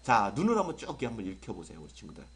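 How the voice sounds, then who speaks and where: A young adult male English tutor with a sore throat from a cold, lecturing in an excitable, exaggerated way full of rhetorical questions. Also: lowish voice, very fast, slightly rough. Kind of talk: online exam-prep lecture.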